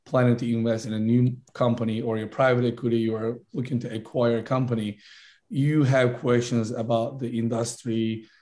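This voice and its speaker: A man from Turkey, a founder making a fluent business pitch in a podcast interview, correcting himself on the fly. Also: little pitch movement, moderate pace, warm tone. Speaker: startup founder guest.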